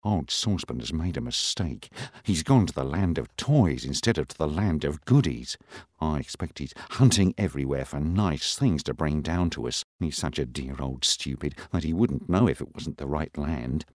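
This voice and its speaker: Man voicing a deep fretful elf, lively pitch, dry. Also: normal speed, no echo. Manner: groaning, fretful. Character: fond, worried male moon-faced elf.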